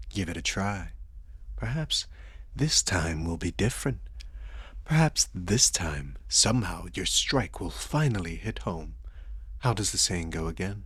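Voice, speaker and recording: A man's voice: a bit bright, slightly rough, dry recording, exaggerated voice. A man acting out a seductive, menacing vampire lord in an ASMR roleplay, with theatrical mockery and possessive endearments. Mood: taunting. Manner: slow, theatrical, mocking endearments, frequent low chuckles.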